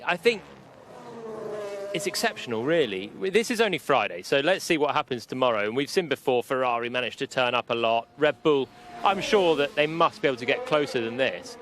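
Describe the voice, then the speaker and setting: A man's voice: medium pitch, animated, fairly quick, fairly bright tone. A man giving live analytical motorsport commentary as a co-commentator, with car noise behind him.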